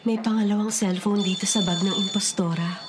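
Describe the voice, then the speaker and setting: A woman's voice: medium pitch, steady tone, fairly quick, noisy background, close to an everyday voice. An actress with a Filipino accent playing a wronged, sly ghost woman in a radio drama. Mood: secretive, determined. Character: wronged dead woman haunting her impostor, sly, insistent.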